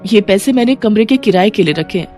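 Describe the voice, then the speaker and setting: A woman's voice: mid-range voice, expressive, fast, mellow, natural voice. A woman voicing a poor, hardworking, defiant mother who sells sweets, outspoken and firm, in a moral story. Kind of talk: moral-story cartoon acting. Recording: music bed behind the voice.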